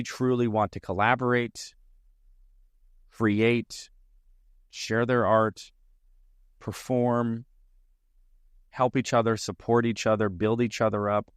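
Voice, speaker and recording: A man's voice: mid-range voice, clear, resonant tone, no echo, clean studio sound. A man hosting his own comedy podcast, talking alone in an earnest, reflective way and stringing his thoughts into long lists. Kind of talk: solo podcast monologue. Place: lives in Arizona.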